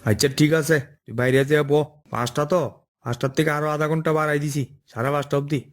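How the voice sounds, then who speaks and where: A man's voice: on the high side, steady tone, fast talker, close to an everyday voice. A man voicing a firm, fair-minded forest officer in a cartoon, authoritative and matter-of-fact, with an eastern Bengali dialect. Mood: worried, firm. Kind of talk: cartoon dialogue.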